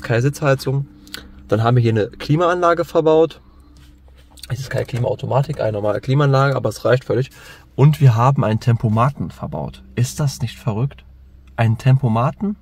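A man casually walking through his car in a vlog, chatty and relaxed, with frequent fillers and restarts. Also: medium pitch, slight background noise. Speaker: car vlogger. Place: in and around a car.

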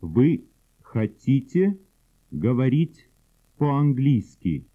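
Very slow male language drill speaker. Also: mid-range voice, sing-song. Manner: very slow and deliberate, word by word. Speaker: language instructor. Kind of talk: slow drill sentences for repetition. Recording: husky, phone-like old cassette audio.

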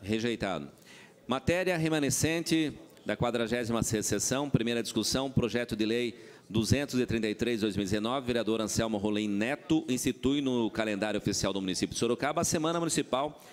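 A man with a São Paulo accent chairing a council session, calling roll and announcing votes in a brisk, businesslike way. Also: medium pitch. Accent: Brazilian Portuguese, São Paulo state. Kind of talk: procedural chairing. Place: council chamber.

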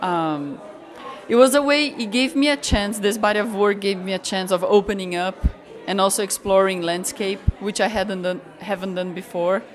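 A woman photographer from Brazil talks through her work in a bright gallery. She speaks thoughtfully and often stops to search for the right word. Her voice is picked up on a handheld mic that the interviewer holds out to her. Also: mid-range voice. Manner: thoughtful, searching for words with pauses. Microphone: handheld mic held by interviewer.

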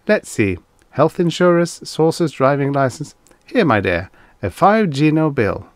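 An affable visitor from Venus, voiced by a male narrator, chatting breezily and matter-of-factly in an audiobook tale. The pitch swings freely and the sound is close and dry. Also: sing-song, unhurried, no room sound. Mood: genial, obliging.

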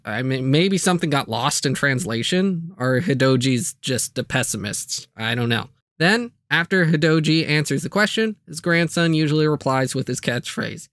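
A man's voice: mid-range voice, fairly bright tone, dry recording, studio quality. A man delivering a casual, comedic scripted video review, with jokey asides and playful exaggeration.

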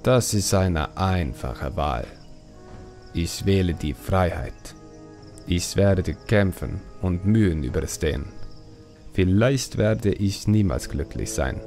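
A man voicing a proud, resolute young troll warrior in a fantasy audiobook, speaking slowly and defiantly. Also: low voice, expressive, darkish tone, faint music behind, exaggerated voice. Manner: slow, deliberate, declaring.

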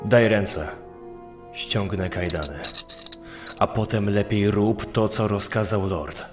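A man playing a sombre, matter-of-fact elven soldier in a fantasy audio drama, speaking in a grave, measured way. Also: fairly low pitch, music in the background. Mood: resigned.

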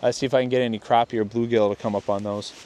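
A man's voice: medium pitch, steady tone, very fast, a little mellow. A young man hosting a fishing video, rambling through a casual gear test on a lakeshore and often restarting his sentences. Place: outdoors on a lakeshore. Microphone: chest-mounted camera.

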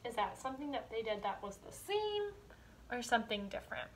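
A young woman leads a read-aloud lesson for small children in a fairly high voice. She explains things simply and leaves room for answers. The home recording has faint noise. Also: big pitch swings, brisk. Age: young adult. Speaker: kindergarten teacher. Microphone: device camera a step or two away.

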